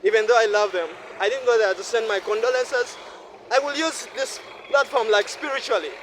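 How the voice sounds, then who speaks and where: A man in his twenties from Uganda, talking to himself in a repetitive, preachy monologue while walking a city street at night, sweaty from walking fast. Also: extremely high pitch, fairly even intonation, fairly bright tone, a little hiss or hum, poor audio. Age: 20s. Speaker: vlogger. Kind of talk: rambling night-walk monologue.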